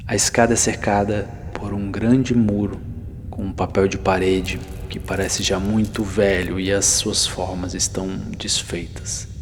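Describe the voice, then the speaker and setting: A very low male voice guides the listener through an immersive ASMR scene. He speaks softly and unhurriedly, leaving pauses and slipping close to a whisper. A long reverb surrounds him, with music playing behind. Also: level tone. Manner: hushed, unhurried, with soft pauses, sometimes near whisper. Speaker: narrator of a guided ASMR roleplay podcast. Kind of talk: slow immersive ASMR guided narration, second person.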